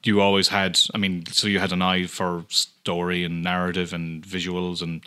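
Bright-voiced podcast host. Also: male voice, low-pitched, completely flat delivery, normal speed, clear, resonant tone. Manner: friendly, agreeable, many backchannels and fillers. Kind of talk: casual interview conversation. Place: home studio.

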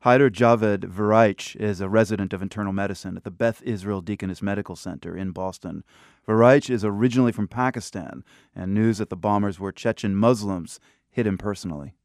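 Deep, smooth-voiced radio host. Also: male voice, a little fast, a little mellow, dry recording, clean studio sound. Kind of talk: radio news interview.

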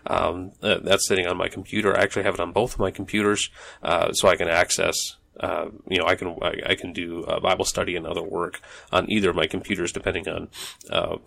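A man's voice: low voice, little pitch movement, fast, vocal fry, a bit bright, a little hiss or hum. A man hosting a solo podcast from his home office, talking in a loose, rambling way with many ums and restarts. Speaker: podcast host. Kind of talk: casual solo podcast monologue.

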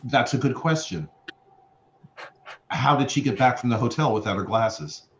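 A man's voice: mid-range voice, lively intonation, normal speed, fairly bright tone. A man who is a playwright at a reading, giving stage directions and chatting casually about the piece. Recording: muffled, noisy, echoey room.

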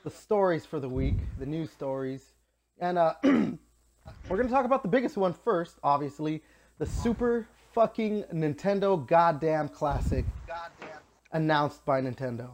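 Slightly high-voiced man in his thirties, rambling. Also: expressive, unhurried, a little hiss or hum. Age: 30s. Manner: rambling, self-deprecating, swears casually. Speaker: podcast host. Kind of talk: casual gaming podcast chat.

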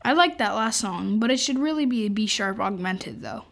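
A female performer playing a frank, curious twelve-year-old kid in a naturalistic comedy radio play, gently teasing and deadpan. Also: medium pitch, normal speed, creaky.

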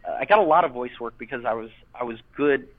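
A man's voice: mid-range voice, fast, no room sound. A man who voiced a character as a child, chatting in an interview and rambling with many fillers and restarts. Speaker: interview guest, former child voice actor. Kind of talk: casual interview chat. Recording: tinny phone-line sound.

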